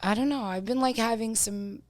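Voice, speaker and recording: A woman's voice: fairly high pitch, moderate pace, no room sound. A woman in her thirties with a New York accent, chatting as a podcast guest and telling stories full of fillers. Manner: chatty storytelling, lots of fillers. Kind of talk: casual podcast chat.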